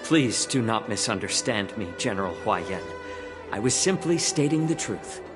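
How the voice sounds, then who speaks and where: Man playing a courteous, lively-pitched young envoy. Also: normal speed, over music, close to an everyday voice. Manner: polite, formal. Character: courteous young male envoy, composed, subtly cheeky. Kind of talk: anime game voice acting.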